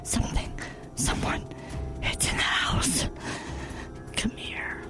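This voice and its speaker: A woman's voice: slow pace, loud music behind the voice, lightly acted. A voice actress playing a possessed mother who whispers and coaxes her son to come closer in a horror radio drama. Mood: eerie. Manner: whispering, creepily coaxing. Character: possessed mother, eerie and not herself.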